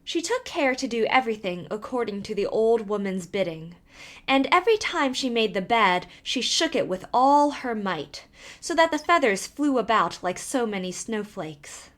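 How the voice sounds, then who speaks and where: A woman reading a fairy tale aloud as scripted narration. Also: mid-range voice. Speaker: storyteller.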